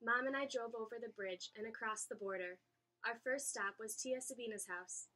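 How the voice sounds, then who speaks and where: Woman storyteller, high bright voice, animated read-aloud, children's book narration. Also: normal speed. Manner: animated, acts out dialogue.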